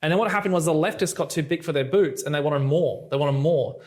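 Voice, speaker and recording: A man hosting a podcast, chatting about politics in a quick, conversational way with lots of "you know" fillers. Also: on the high side. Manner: rapid, conversational, many fillers. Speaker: podcast host.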